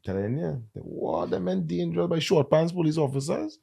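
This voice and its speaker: A man with a Trinidadian accent, a content creator and comedian, chatting in an interview and telling stories with lively emphasis. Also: a little high, brisk, gravelly, slightly rough. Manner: animated, emphatic storyteller. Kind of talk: casual interview chat.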